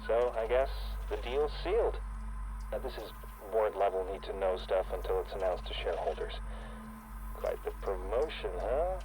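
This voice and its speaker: A man's voice: lowish voice, lively intonation, normal speed, lightly acted, poor audio. A man playing an astonished company insider passing on confidential news in a recorded video game message. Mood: amazed, confiding. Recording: faint ambient noise.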